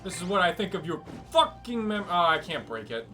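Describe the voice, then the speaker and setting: A man's voice: sits high, sing-song, brisk, music in the background. A man giving sarcastic, sweary, irreverent commentary while live-streaming a video game.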